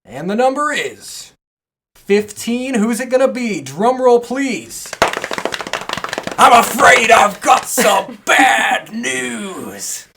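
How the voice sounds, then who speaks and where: A talkative podcast host with a mid-range voice chats informally about wrestling, offering opinions freely. There is clear noise in the background of the recording. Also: medium pitch, big pitch swings, slow, sharp tone. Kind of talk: casual chat about pro wrestling.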